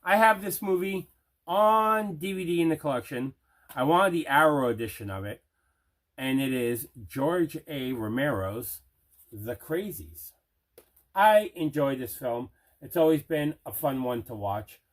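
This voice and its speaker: A man's voice: on the high side, animated, a little slow. A man hosting a casual unboxing video as a collector, talking in an enthusiastic, conversational way. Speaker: movie collector, video host.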